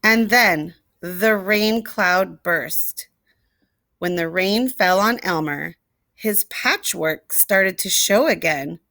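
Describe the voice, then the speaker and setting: A woman storyteller reading a picture book expressively to young children, deliberately, with asides and questions to the listeners. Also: on the low side, bright, forceful tone, no room sound. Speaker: read-aloud storyteller.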